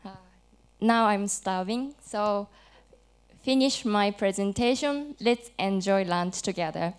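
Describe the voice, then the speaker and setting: A woman in her thirties with a Japanese accent speaks at a slow, careful pace. Her voice is smooth and clear, and her English is simple and halting, with small restarts as she moves through an academic talk. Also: medium pitch. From Japan, Hokkaido. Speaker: conference presenter. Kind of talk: academic presentation with light jokes. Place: conference hall.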